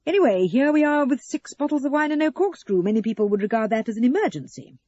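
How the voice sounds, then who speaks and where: A woman voicing a curious, perceptive man in an audio story, questioning, reasonable and gently needling. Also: high-pitched, animated, fast talker, highs cut off, voice pushed up. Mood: long-suffering. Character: curious, perceptive man, mildly long-suffering houseguest.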